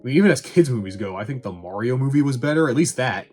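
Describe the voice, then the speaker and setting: A man's voice: medium pitch, lively intonation, quick pace, a little mellow, soft background music. A man giving a casual, opinionated movie review in a wry, sarcastic, conversational way. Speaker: film reviewer and host.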